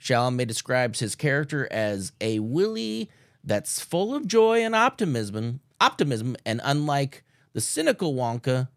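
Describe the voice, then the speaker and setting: A man's voice: medium pitch, very animated, unhurried, no echo, studio quality. A young adult man co-hosting a casual entertainment chat show, joking and tripping over his words as he reads the news. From Nebraska. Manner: joking, stumbling restarts, playful. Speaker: co-host.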